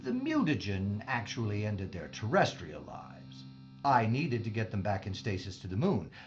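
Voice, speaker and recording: Man playing menacing mad scientist, grandiose, theatrical, extremely animated pitch, muffled lo-fi audio, space radio play villain. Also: normal speed, faint music behind. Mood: grandiose, fervent. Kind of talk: science fiction audio drama acting. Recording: dull band-limited sound.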